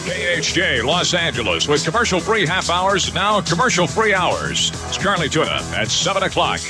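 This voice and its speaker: A man working as a morning radio DJ in a studio, reading time checks and weather and cracking quick jokes with chuckles. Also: a little high, big pitch swings, over loud music. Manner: joking, quick one-liners with chuckles. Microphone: studio broadcast mic.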